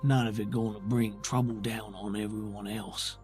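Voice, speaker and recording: A man playing a weary, protective, tentacled creature in a tabletop roleplay, with halting, folksy, plainspoken speech. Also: on the low side, level tone, a little mellow, faint music behind, close to an everyday voice. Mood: grave, apologetic.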